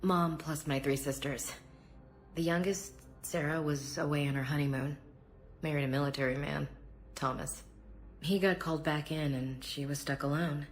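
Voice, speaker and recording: A woman's voice: low voice, steady tone, normal speed, faint music behind, close to an everyday voice. An actress playing a tough but guarded female soldier in a video game, speaking frankly and earnestly. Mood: subdued, vulnerable. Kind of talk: dramatic video game dialogue.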